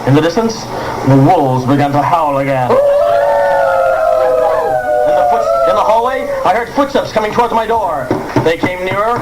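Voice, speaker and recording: A man playing a wisecracking hardboiled secret agent who narrates his own case deadpan, in a live comedy radio play. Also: sits high, moderate pace. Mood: dramatic narrating. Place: live performance, taped. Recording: very noisy old cassette tape recording.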